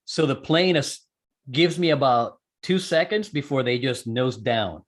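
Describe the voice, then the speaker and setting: A man's voice: medium pitch, lively intonation, unhurried. A man being interviewed, explaining a technical procedure step by step in a conversational way and correcting himself as he goes. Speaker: interview guest, amateur radio operator and skydiver.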